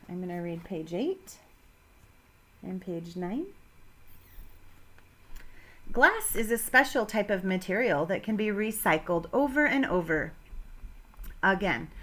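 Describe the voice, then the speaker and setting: A woman's voice: medium pitch, a bit bright. A woman teacher giving a read-aloud science lesson to children, with simple, instructive phrasing addressed to her pupils. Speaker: elementary school teacher.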